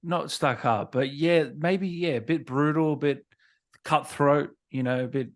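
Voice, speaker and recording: A man's voice: fairly high pitch, unhurried. A man hosting an interview in a casual, supportive and matey way. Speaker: interview host. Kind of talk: casual chat.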